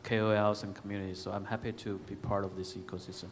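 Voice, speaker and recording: In a reverberant, slightly noisy hall, a man with a Hong Kong accent speaks in a low voice. He is an audience member putting his question haltingly at a conference. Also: little pitch movement.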